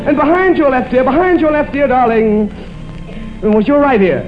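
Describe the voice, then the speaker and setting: High-pitched theatrical man in old radio comedy. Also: expressive, normal speed. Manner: mock-romantic, theatrical, repeats phrases for effect. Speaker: comedy radio show host. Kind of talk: 1940s radio comedy monologue and banter. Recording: muffled old radio recording, music behind. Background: music.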